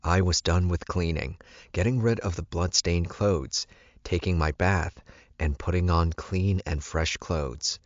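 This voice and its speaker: Male audiobook narrator for first-person fiction: deep pitch, very dry, slightly dull recording. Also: unhurried, no echo.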